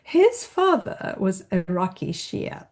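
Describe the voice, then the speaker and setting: A woman's voice: mid-range voice, very animated, slow, darkish tone. A British woman novelist being interviewed, speaking thoughtfully and self-deprecatingly with frequent restarts, in a British accent. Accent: British English. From Britain. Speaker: novelist guest. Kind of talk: interview conversation.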